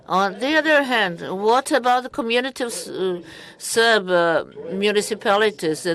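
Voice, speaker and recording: A woman interpreting a parliamentary speech live, with halting, deliberate phrasing broken into short pieces. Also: mid-range voice, fairly bright tone.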